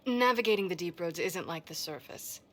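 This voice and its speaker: An actress playing a brisk, self-assured expert in a fantasy video game, with a dry, matter-of-fact delivery. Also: female voice, on the low side, lively intonation, fairly bright tone.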